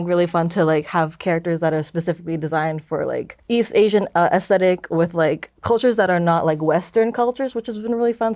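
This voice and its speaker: Lower-pitched woman, close dry sound, conversational. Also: a little mellow, dry recording. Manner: loose, listing way of explaining with frequent "like". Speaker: co-creator of an audio drama. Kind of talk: casual conversational interview.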